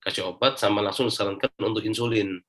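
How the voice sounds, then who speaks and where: A man with a fairly low voice and an Indonesian accent speaks as an interview guest. He gives his own account casually, explaining it step by step in colloquial speech. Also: quick pace, a bit bright. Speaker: interview guest giving a personal testimonial. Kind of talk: casual spoken personal account.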